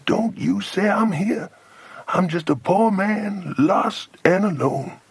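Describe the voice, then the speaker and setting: A male narrator plays a hoarse American fugitive in a children's audiobook. The voice is husky and lifted high, pleading and urgently warning, with a dry, close sound. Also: animated, slow, no room sound, heavily acted. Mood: hushed pleading. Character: frightened, friendly American man hiding in a tree, urgent warnings.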